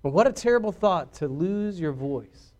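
A pastor preaching a sermon, shifting from quiet confiding asides to loud emphatic declarations. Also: male voice, high voice, expressive, moderate pace.

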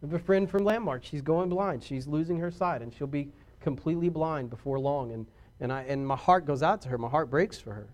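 A pastor preaching a sermon, shifting from quiet confiding asides to loud emphatic declarations. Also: male voice, fairly high pitch, fairly quick.

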